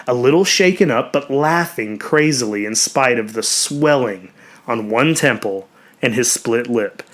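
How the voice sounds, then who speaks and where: Male storyteller, mid-pitched, for dramatic audiobook narration; measured, expressive delivery that softens into hushed phrase endings.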